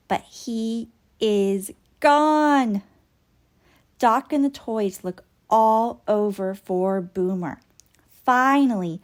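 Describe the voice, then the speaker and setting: A woman reading a storybook aloud for young children with expressive narration. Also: a little high.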